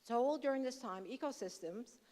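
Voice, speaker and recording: A woman, assistant superintendent for business, giving a steady, explanatory update to a school board. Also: high-pitched, fairly quick. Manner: steady, explanatory with occasional fillers. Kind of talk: formal presentation to a board. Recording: reverberant meeting hall.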